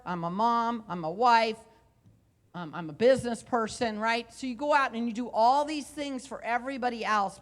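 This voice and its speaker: Casting: woman in her forties, coach on a conference stage with a handheld mic, loud and chatty, repeats herself. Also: on the high side. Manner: loud, conversational, with repeated phrases. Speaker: motivational speaker and coach. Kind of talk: live motivational talk. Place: hotel conference room stage. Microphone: handheld microphone.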